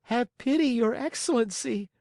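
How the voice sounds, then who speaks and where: Small wooden puppet boy, as a man puts him on, high and piercing, brave and earnest; dry, close recording. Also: normal speed, vocal fry, a little mellow, no echo. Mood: pleading.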